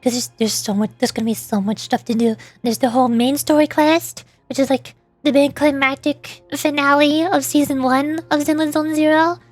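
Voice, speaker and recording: A woman's voice: high voice, fairly even intonation, normal speed, hardly any pauses, dry recording, soft background music. A woman livestreamer chatting casually, rambling and often interrupting herself, her voice starting to tire. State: voice starting to get worn out. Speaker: VTuber livestreamer.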